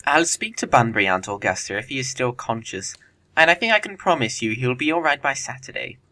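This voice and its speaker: A man acting a glib, airy young Victorian gentleman in an audio play, witty and obliging. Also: mid-range voice, expressive, a little fast. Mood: breezy, placating.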